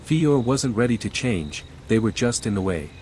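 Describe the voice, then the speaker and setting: An actor playing a calm, steady male envoy, the scholarly hero of a fantasy video game, with measured and understated delivery. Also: on the low side, music in the background, lightly acted. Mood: cold, justifying. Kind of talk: video game protagonist dialogue.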